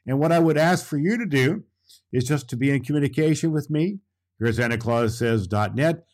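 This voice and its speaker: A man's voice: fairly high pitch, a little fast, darkish tone, voice pushed up, lightly acted. A man playing Santa Claus as a jolly old storyteller, talking directly and emphatically to children. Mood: jolly, earnest. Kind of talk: children's storytelling and friendly show hosting.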